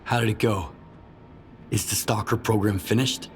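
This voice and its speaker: A man's voice: lowish voice, fairly even intonation, normal speed, close to an everyday voice. An actor voicing an earnest male stalker in a video game, asking questions directly. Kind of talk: video game cutscene acting. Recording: noisy ambience behind voice.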